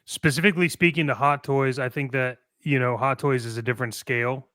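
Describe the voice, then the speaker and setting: A man's voice: medium pitch, fairly even intonation, a little fast, sharp tone, no echo, studio quality. A man co-hosting a talk show in a casual, opinionated way, bluntly and emphatically, often repeating phrases for stress. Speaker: co-host of a toy collecting show.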